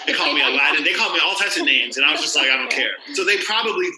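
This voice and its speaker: A man's voice: a little high, lively intonation, racing, reverberant, over a noisy crowd, low-quality recording. A man co-hosting a podcast, chatting casually with many fillers and restarts. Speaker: podcast co-host, teacher. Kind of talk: casual chat.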